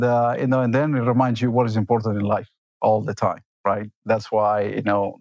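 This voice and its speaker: Gravelly low-voiced man, reflective. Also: a little mellow, no room sound, highs cut off. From Argentina and Italy. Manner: reflective, many fillers and tag questions. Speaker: company executive, interview guest. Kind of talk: conversational interview answers.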